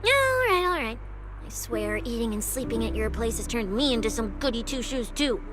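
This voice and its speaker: A woman's voice: a little high, big pitch swings, moderate pace, background noise. A woman voice acting a lazy, food-loving young policewoman in a video game, playful and hammy, with hesitant little hums and asides. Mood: resigned, scheming. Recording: music behind the voice.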